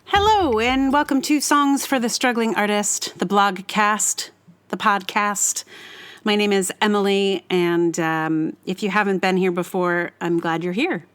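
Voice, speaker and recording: A woman's voice: medium pitch, a little slow, fairly bright tone, clean tone. A woman hosting a solo podcast, talking casually in a rambling, hesitant way and often correcting herself as she goes. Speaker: podcast host.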